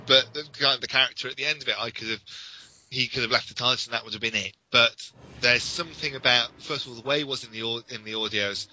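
Bright, forceful British male podcast host. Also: mid-range voice, muffled recording, slight background noise. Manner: detailed, explanatory, enthusiastic. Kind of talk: enthusiastic informal podcast commentary.

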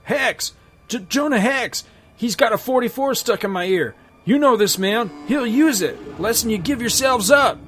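A man voicing a panicked outlaw leader in a comic story, yelling high and strained. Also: expressive, moderate pace, faint music behind. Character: panicky hot-headed male outlaw leader.

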